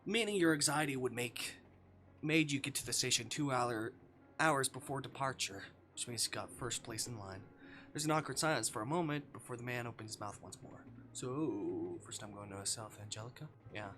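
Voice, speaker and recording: A man's voice: medium pitch, brisk, sharp tone, slight background noise. A man livestreaming, reading a visual novel aloud and dropping into casual, sweary asides.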